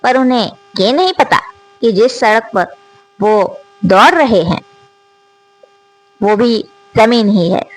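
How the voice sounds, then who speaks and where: Woman storyteller, slow and earnest, high voice that swings a lot, kinda phone-thin sound. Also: lively intonation, dry recording, poor audio. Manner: slow, earnest, questioning, rising on rhetorical questions. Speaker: storyteller and channel host. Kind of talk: reflective moral monologue.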